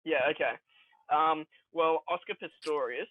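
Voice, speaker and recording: A man co-hosting a casual comedy podcast, telling absurd jokes in a rambling, halting way. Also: on the high side, no echo, narrow, tinny audio. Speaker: podcast co-host.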